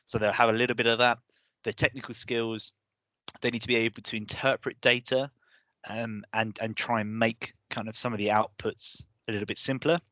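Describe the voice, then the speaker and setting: A man presenting an online course session, hesitant with many restarts, his voice going hoarse. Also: mid-range voice, flat delivery, a little fast, bright, forceful tone, no echo. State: losing his voice. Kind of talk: online webinar lecture.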